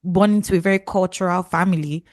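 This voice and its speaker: Nigerian woman, animated podcast chat. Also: lowish voice, fast, no echo, studio quality. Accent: Nigerian English. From southwest Nigeria. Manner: animated, self-correcting, stacks up phrases. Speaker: podcast co-host.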